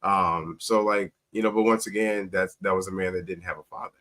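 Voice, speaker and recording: A man's voice: low-pitched, steady tone, a little fast, a bit bright. A man in an interview, telling personal stories conversationally as a guest and acting out quoted dialogue with emphasis. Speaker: talk show guest, teacher. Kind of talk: conversational storytelling on a talk show.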